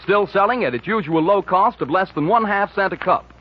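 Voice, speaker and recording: A man's voice: high-pitched, brisk. An American man announcing a 1940s radio show and its commercials in a polished, promotional manner. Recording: dull, noisy 1940s radio recording.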